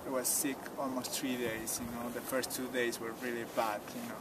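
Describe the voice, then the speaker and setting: A man's voice: mid-range voice, normal speed, background noise. Man telling a story outdoors. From Peru, Cusco countryside. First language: Quechua. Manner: relaxed, frequent "you know", simple phrasing. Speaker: tour guide. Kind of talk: casual storytelling interview. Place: outdoors at a mountain site. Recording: distant outdoor mic with loud ambience.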